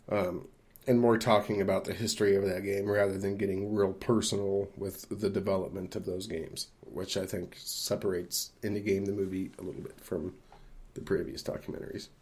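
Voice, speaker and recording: A man with a fairly low-pitched voice, speaking as a podcast co-host. He gives his opinions on films in a casual, measured, conversational manner. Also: darkish tone. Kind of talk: casual film discussion.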